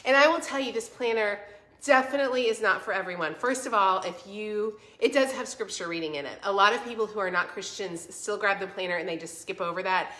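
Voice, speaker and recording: A woman speaks at an average pitch, sounding congested. She chats informally as a livestream host, addressing viewers directly and offering encouragement. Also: brisk, bright, forceful tone. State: stuffy, congested voice from a cold. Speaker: vlog host selling a home planner. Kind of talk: casual livestream chat, answering viewer comments.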